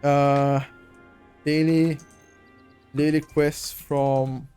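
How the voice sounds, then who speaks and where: Bulgarian man with a high voice. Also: flat delivery, extremely slow, dark tone, clear, resonant tone, faint music behind. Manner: chatty, addresses chat directly, repeats himself. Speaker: game guide streamer. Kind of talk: live-streamed gameplay walkthrough.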